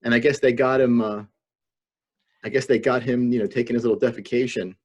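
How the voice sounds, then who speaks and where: A man with a husky, mid-pitched voice takes part in a casual panel chat. He talks in a joking, offhand style over a video call. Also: steady tone, a little fast. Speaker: podcast panelist.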